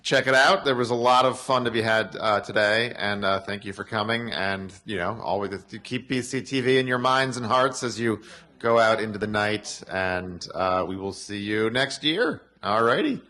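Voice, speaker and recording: Bright-voiced emcee with a low pitch. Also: male voice, normal speed. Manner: upbeat, loose, filler-heavy ad-libbing. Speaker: awards night emcee. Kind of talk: event emceeing. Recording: faint crowd noise behind.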